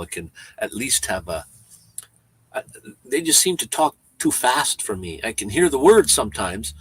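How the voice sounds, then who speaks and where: A man around seventy with a Canadian accent, chatting casually on a livestream, rambling and halting with frequent restarts. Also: lowish voice, a bit bright, husky, rough recording. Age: 70s. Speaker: van-life vlogger.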